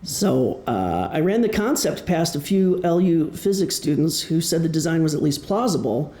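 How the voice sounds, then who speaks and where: A man from Wisconsin with a Midwestern American accent giving an explanatory public talk as an author, with deliberate pauses. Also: on the high side, expressive, dark tone. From Wisconsin, United States. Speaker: author presenting his novel. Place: indoor presentation room. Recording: echoey room.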